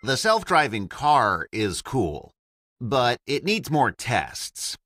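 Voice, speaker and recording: A woman's voice: rumbling low, sing-song, slow pace, fairly bright tone. A woman reading scripted educational narration in simple, separated statements.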